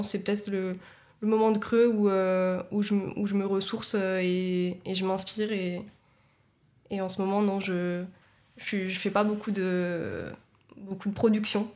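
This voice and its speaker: Young woman, from Nice, podcast guest, monotone, halting. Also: mid-range voice. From Nice, France. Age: young adult. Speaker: guest, agency co-founder. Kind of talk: podcast interview.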